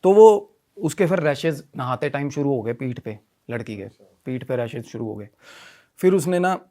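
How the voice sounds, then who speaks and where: A man telling a story in animated conversational style, often repeating himself and mixing in English phrases. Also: medium pitch, normal speed. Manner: repetitive, mixes Hindi with English phrases. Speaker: podcast guest telling a ghost story.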